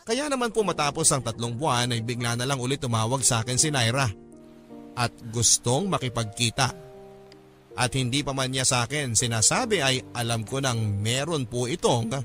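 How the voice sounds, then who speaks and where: A man with a Filipino accent narrating a radio drama in a polite, measured storytelling manner. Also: mid-range voice, lively intonation, a bit bright, natural voice. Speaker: narrator reading a letter-writer's story. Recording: music bed under the voice.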